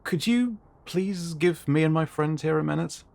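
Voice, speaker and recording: A man's voice: fairly high pitch, normal speed, vocal fry, a little mellow. A man playing an easily spooked, wry friend in a scripted sci-fi roleplay, talking in a hesitant, casual, dryly joking way. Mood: flustered.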